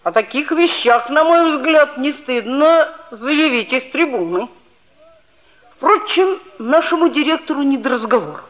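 Chatty, high-voiced schoolboy. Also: female voice, animated, unhurried. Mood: eager. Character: chatty, cheeky seventh-grade schoolboy narrator. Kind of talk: radio play narration. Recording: old muffled narrow-band radio play recording.

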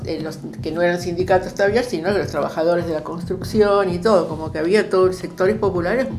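A woman's voice: lowish voice, creaky. An older woman with an Argentine accent, recalling things in a conversational interview with a reflective, hesitant manner as she searches for words. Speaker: interviewee, social worker recalling past projects. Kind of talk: conversational oral-history interview.

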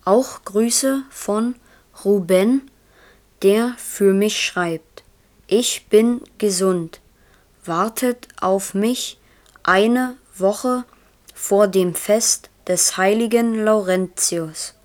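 A dutiful, earnest child in a radio drama. The voice sits at a middling female pitch and speaks slowly and carefully. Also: a little mellow. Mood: earnest, reading aloud. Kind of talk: measured German radio play acting.

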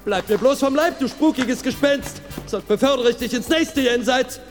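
A man's voice: very high voice, moderate pace, music in the background. An actor in a German radio play playing a nervous, blustering henchman with an agitated, whiny delivery. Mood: panicky threatening.

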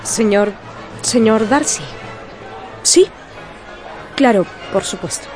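A woman playing a proud, outspoken young gentlewoman with a teasing edge in a radio drama. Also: mid-range voice, expressive, slow pace, soft background music, some chatter behind. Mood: flustered, protesting.

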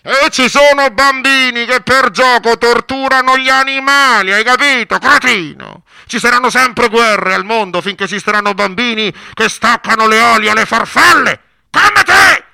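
A man's voice: very high-pitched, normal speed, creaky, sharp tone, clear, resonant tone, no room sound, full character voice. A man in a radio play playing a scornful moralist who scolds a child with preachy, accusing sarcasm. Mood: furious accusing. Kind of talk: theatrical radio monologue acting.

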